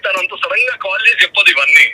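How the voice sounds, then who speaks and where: A man's voice: high voice, lively intonation, very fast, heavy background noise. A man talking casually over the telephone, informal, repeating short words and mixing in English. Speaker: guest's husband, phone caller. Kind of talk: casual phone chat. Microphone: phone call.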